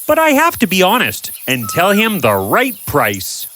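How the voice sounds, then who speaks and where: A man's voice: sits high, huge pitch swings, a little slow, sharp tone, a little hiss or hum, voice pushed up, heavily acted. A man voicing an honest, humble village painter in a storybook tale, speaking politely and earnestly.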